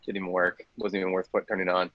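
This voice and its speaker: A man's voice: low-pitched, little pitch movement, quick pace, dry recording, muffled recording. An American man chatting casually in laid-back slang, calling people dude. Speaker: podcast cast member. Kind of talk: casual chat.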